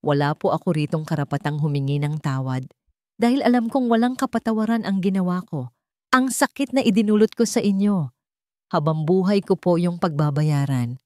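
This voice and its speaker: A woman voicing a humbled grown son who speaks softly and politely, his voice breaking with tears, in a dry storybook narration. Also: fairly low pitch, normal speed, no room sound, lightly acted. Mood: tearful, pleading. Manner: soft, quiet and polite.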